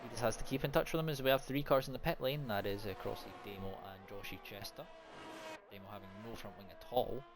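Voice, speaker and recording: A man with a naturally quiet voice giving live, unscripted sim racing commentary, mixed with casual chat. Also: mid-range voice, fairly even intonation, fast talker, over music. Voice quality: soft-spoken, naturally quiet voice. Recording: noisy home stream microphone.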